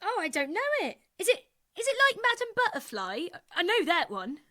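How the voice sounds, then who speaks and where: A woman playing a cheerful, slow-witted but confident pub regular in a radio comedy. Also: extremely high pitch, big pitch swings, pushed and bright, full character voice. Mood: puzzled, confident.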